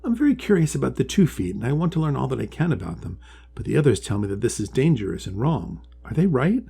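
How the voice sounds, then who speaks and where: A man's voice: mid-range voice, sing-song, fast talker, gravelly, mellow, slight background noise, voice pushed up. A man voicing a curious, earnest young female horseshoe crab in a storybook, full of polite questions. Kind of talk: storybook character voice.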